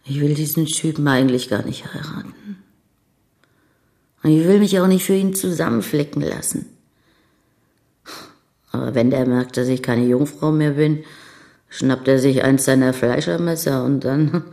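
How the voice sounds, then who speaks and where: An actress playing a brash, world-weary young bride-to-be who is coquettish, blunt and provocative, in a radio crime drama. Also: female voice, very deep voice, unhurried, creaky, pauses often, warm tone, exaggerated voice. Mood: bitter, disgusted. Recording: studio radio drama with music underneath.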